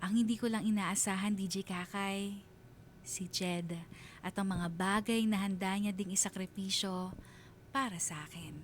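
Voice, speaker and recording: An actress playing a sincere mother who tells her love story in measured, heartfelt narration for a radio drama. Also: mid-range voice, fairly even intonation, background noise, lightly acted. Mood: reflective, troubled.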